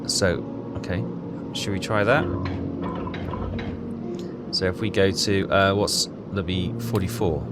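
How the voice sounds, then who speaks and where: Deep-voiced man musing slowly over a game. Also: fairly even intonation, heavy background noise. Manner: musing, halting, self-questioning. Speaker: let's player. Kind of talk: thinking aloud through a puzzle game. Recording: loud game music behind voice. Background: game music.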